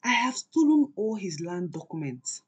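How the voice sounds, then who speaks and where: A woman's voice: medium pitch, very animated, normal speed, a little mellow, breathy edge, lo-fi sound. A woman voicing a cool, ruthless, scheming wife in a folktale, firm and calculating. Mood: cool, confiding.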